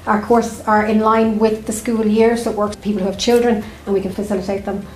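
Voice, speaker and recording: A woman's voice: medium pitch, level tone, fairly quick, soft background music. A woman, an education coordinator, listing courses matter-of-factly in an interview. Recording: echoey room, faint noise.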